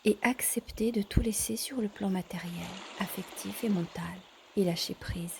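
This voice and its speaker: A woman teaching yoga in a calm guided instruction style, measured, pausing between short phrases. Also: medium pitch, noisy background.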